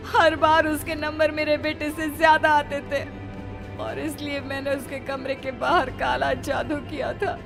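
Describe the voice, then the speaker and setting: High-pitched, overwrought mother played by a woman. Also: vocal fry. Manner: pleading, overwrought. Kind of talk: melodramatic Hindi audio story acting.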